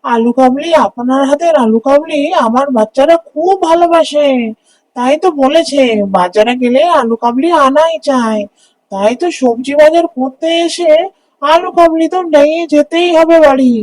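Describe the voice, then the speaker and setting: A woman's voice: sits high, moderate pace, darkish tone, no echo, distant mic, lightly acted, rough recording. A woman voicing a friendly mother shopping at a market in a cartoon story. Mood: eager. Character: friendly young mother, a regular market customer. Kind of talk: Bengali cartoon story voice acting.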